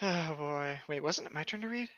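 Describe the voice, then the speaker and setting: Bright, animated man on slightly dull audio. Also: a little high, normal speed. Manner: profane, mocking, exclamatory. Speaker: podcast co-host. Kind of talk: casual comedic commentary on read-aloud horror stories.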